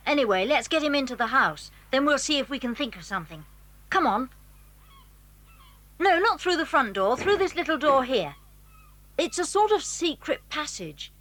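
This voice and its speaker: A woman playing an eager, cheeky schoolboy with a brisk, conspiratorial manner in a children's audio drama. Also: sits high, a bit bright, heavily acted.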